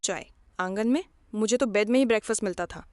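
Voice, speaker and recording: A woman's voice: medium pitch, fast talker, darkish tone, dry recording, close to an everyday voice. A woman playing a spoiled young rich bride in a cartoon moral story, indignant, whiny and disdainful. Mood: complaining, disdainful.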